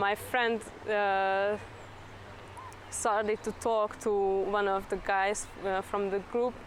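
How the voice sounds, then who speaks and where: A woman's voice: mid-range voice, slight background noise. A young woman, a psychology student, telling a story hesitantly with many fillers. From Hungary. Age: young adult.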